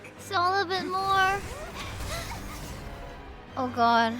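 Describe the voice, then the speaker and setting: High, excitable woman livestreamer. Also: extremely slow, over loud music. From Philippines. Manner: excitable, expressive exclamations. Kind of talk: casual game stream reactions.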